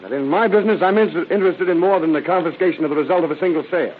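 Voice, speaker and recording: An actor in a 1930s radio drama playing a firm, shrewd narcotics captain with a clipped, commanding delivery. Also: male voice, on the high side, very fast, natural voice. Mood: shrewd, bargaining. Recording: tinny, hissy old radio broadcast.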